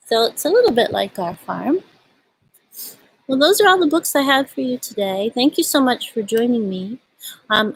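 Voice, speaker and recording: A woman with a husky voice hosting a storytime for young children, speaking gently and in simple phrases as she reads and chats outdoors. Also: fairly high pitch, rough recording. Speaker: children's storytime host at a community farm. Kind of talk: storybook read-aloud and casual chat to children. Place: outdoors at a farm.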